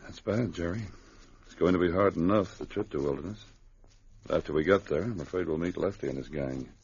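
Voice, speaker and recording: An actor playing a steady, protective Mountie sergeant in an old-time radio drama, speaking with firm, measured authority. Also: male voice, low-pitched, vocal fry, breathy edge, exaggerated voice. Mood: grave, thoughtful. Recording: muffled vintage radio recording.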